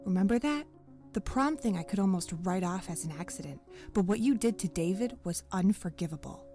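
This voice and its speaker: A woman's voice: medium pitch, animated, brisk, mellow, soft background music, close to an everyday voice. A woman playing a tough, fed-up protective mother in an audio story, blunt and confrontational. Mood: bitter accusation.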